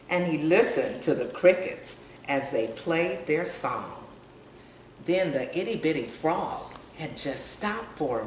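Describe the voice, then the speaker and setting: An older woman reading a children's picture book aloud, pointing out pictures and putting questions to young listeners. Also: lowish voice. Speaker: storytime reader. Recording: roomy, distant mic, faint noise.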